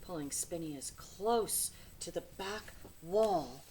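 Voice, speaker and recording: A woman's voice: lowish voice, lively intonation, slow pace, mellow, a little hiss or hum. A woman reading a children's storybook aloud with dramatic, emphatic phrasing. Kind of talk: expressive children's storybook narration.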